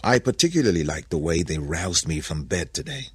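A man's voice: low-pitched, sing-song, fairly quick, breathy edge, no room sound, heavily acted. A man voicing a grateful, innocent first man in a storybook, prayerful and full of wonder. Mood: reverent, grateful. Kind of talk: dramatic storybook character voice.

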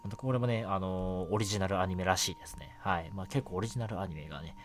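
A man's voice: sits low, fast talker, slight background noise. A man hosting a casual solo radio show, chatting politely with many small filler particles. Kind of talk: casual solo radio talk about anime. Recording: music bed under the voice. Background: background music.

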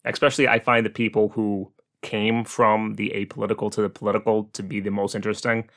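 A man hosting a commentary series, explaining things in a measured, opinionated way with some asides. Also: on the low side, little pitch movement, a bit bright. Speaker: host of a local politics series.

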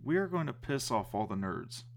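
A man's voice: mid-range voice, very animated, normal speed, gravelly. A man co-hosting a podcast in casual chat, joking and going along with his partner. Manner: joking, agreeable banter. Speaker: podcast co-host.

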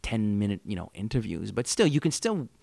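A lowish-voiced man co-hosting a podcast, chatty and opinionated, breaking in with quick reactions. The sound is dry and close to the mic, with no room echo. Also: vocal fry. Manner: animated, opinionated, jumps in. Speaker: podcast co-host. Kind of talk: casual chat.

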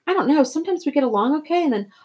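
A woman's voice: mid-range voice, expressive, brisk, natural voice. A woman reading a story as a sensitive teenage boy, emotional and plain-spoken. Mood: scared, hurt. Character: sensitive, upset teenage boy, younger brother.

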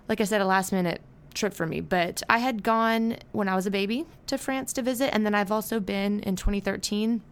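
Young american woman hosting her podcast, chatty and rambly, smooth clear voice, lots of ums. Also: mid-range voice. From United States. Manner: chatty and rambling, with fillers and self-corrections. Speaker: podcast host. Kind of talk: casual solo podcast chat.

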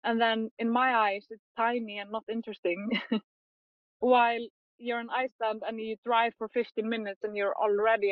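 A young woman photographer chatting in an interview, talking quickly with many fillers and frequent laughs. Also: medium pitch, dry recording, lo-fi sound. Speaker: photographer guest. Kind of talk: casual interview chat.

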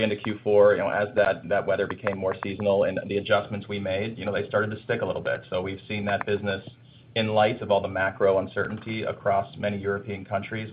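A male executive answering analyst questions on an earnings call, brisk, with filler uhs and repeated words. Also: lowish voice, a little hiss or hum. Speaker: company executive. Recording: muffled conference call line.

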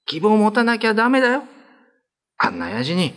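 A man's voice: sits high, huge pitch swings, fairly quick, fairly bright tone, voice pushed up, heavily acted. A man playing a kind, modest young male prison guard in a radio drama, with casual, boyish, reassuring speech. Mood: earnest, urging. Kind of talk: Japanese radio drama acting.

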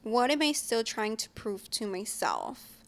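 Young woman in her 20s just chatting w a friend, kinda high voice, says like a lot. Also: wildly swooping pitch. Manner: chatty, quick to laugh, lots of fillers. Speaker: young mom in a casual chat. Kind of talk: casual chat.

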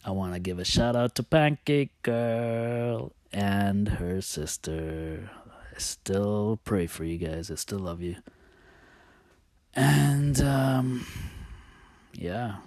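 A man with a lowish voice talks casually and openly about his own past. He thinks aloud, halting and wandering before picking the story back up. The sound is very dry, with no room echo. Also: a little mellow. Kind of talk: casual, confessional personal storytelling.

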